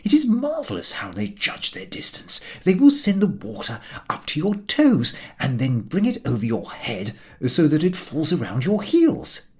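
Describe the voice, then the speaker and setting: Expressive male audiobook narrator, slightly husky. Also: fairly high pitch, moderate pace, few pauses. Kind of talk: scripted narration of a comic novel.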